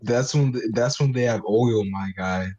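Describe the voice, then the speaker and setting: A man's voice: medium pitch, moderate pace, mellow, dry recording. Dull, lo-fi male gamer voice. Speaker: participant in a group gaming livestream. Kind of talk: casual joking group chat. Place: online group voice call. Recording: dull, lo-fi voice chat audio.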